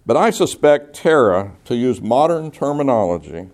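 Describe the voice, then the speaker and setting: A pastor reads a Bible passage aloud, then explains it verse by verse. His voice is mellow and warm, and his pitch sits in a normal range for a man. He sounds measured and teacherly, working through questions aloud with his congregation. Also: very animated. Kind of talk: expository Bible teaching, reading scripture and explaining it.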